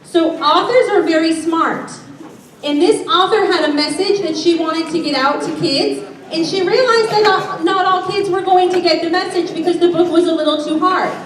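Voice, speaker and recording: A woman teacher addressing young children before a read-aloud, asking simple questions and explaining deliberately. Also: extremely high pitch, distant mic. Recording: echoey room from afar, faint noise.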